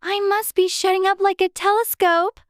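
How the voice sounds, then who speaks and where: A woman's voice: very high voice, level tone, normal speed, no room sound, studio quality. A woman voicing a curious, self-scolding little girl in a dramatized storybook audiobook. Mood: wondering. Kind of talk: dramatized audiobook character acting.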